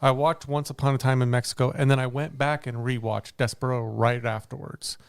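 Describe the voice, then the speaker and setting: Man, film podcast co-host, smooth resonant voice, rambling, self-correcting, dry sound. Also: medium pitch, flat delivery, brisk, no room sound. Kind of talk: casual movie review chat.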